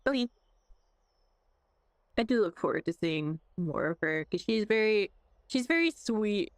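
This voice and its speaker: A woman's voice: medium pitch, lively intonation, measured pace, no echo, clean studio sound. A woman streamer giving casual, playful, chatty live reactions as she plays a game.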